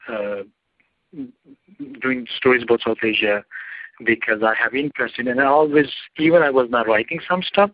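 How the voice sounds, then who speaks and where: A man's voice: medium pitch, no room sound. Pakistani-accented man with a dull, dry sound. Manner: non-native phrasing, long winding sentences. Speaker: journalist guest. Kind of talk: interview answer, personal story.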